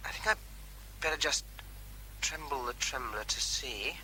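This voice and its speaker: An actor playing a methodical, wry army captain, thinking aloud haltingly as he defuses a bomb in a radio drama. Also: mid-range voice, sharp tone, a little hiss or hum, rough recording. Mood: cautious, wry. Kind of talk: radio drama, running report by field telephone.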